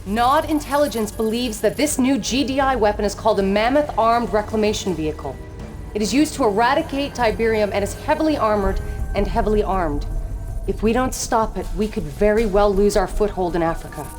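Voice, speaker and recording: Woman playing an intense cult officer over loud music. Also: mid-range voice, lively intonation, a little fast, natural voice. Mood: briefing, urgent. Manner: intense, dramatic, clipped delivery. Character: fanatically loyal, jealous female military officer of a cult. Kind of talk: video game cutscene acting. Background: loud dramatic music.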